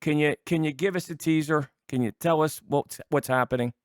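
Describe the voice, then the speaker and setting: A man's voice: fairly high pitch, fairly quick, dry recording, clean studio sound. An older man hosting a casual interview show, asking rambling questions in a conversational way.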